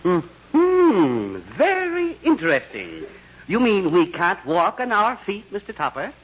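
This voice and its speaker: Fussy, high-voiced pompous doctor. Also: male voice, huge pitch swings, slow pace, full character voice. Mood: jolly, patronising. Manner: patronising, says "we" for the patient, blustering. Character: pompous, fussy male doctor, cheerfully brutal masseur. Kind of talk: old-time radio comedy acting. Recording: dull noisy vintage radio recording.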